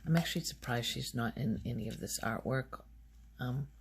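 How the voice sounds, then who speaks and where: A soft-spoken woman rambling in a halting, unhurried ASMR style, her quiet voice often close to a whisper. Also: a little hiss or hum. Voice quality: soft, low-volume voice, often near whisper. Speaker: ASMR video host.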